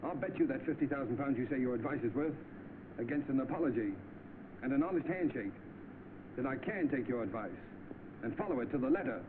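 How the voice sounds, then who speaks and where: An actor playing a rich, idle, determined English gentleman in a vintage British film, with polite, clipped upper-class speech. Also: medium pitch, expressive, a little fast, lightly acted. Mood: defiant. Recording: muffled, noisy old film soundtrack.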